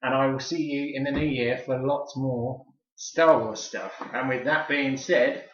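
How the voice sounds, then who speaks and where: A British man with a mid-pitched voice hosts a casual product review. He talks in a chatty, informal, enthusiastic fan's way, without a script. The room is clearly echoey and the sound a little dull. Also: steady tone, a little slow. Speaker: toy reviewer and video host.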